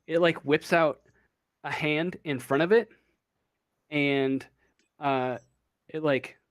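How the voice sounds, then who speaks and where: A man runs a tabletop game, his voice sitting a touch high. He describes the action in a loose, halting way, padded with fillers. The sound is close and dry. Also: dry recording. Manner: loose, halting narration full of "uh" and "like". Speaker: game master. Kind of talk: narrating a tabletop game over voice chat.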